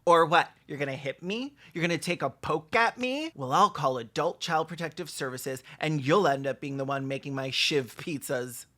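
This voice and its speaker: A commentator giving sarcastic comedic reactions, campy and theatrical, with deadpan asides and mock acting. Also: medium pitch, normal speed, hardly any pauses. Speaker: video commentator.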